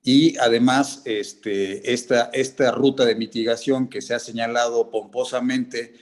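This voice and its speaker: A man from the audience making a comment in a panel discussion, speaking hesitantly and filling pauses with many filler sounds. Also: medium pitch, fairly even intonation. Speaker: audience participant.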